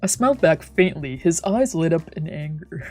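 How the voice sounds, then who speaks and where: A woman's voice: low voice, lively intonation, normal speed, darkish tone, soft background music. A woman doing a playful let's play, reading visual novel text aloud and reacting casually. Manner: playful, giggly. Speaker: streamer.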